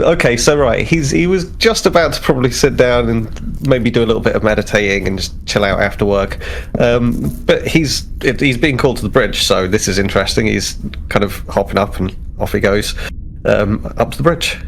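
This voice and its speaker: A man with a creaky, mid-pitched voice plays a tabletop role-playing game. He casually improvises what his character does. A faint noise runs behind him. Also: moderate pace.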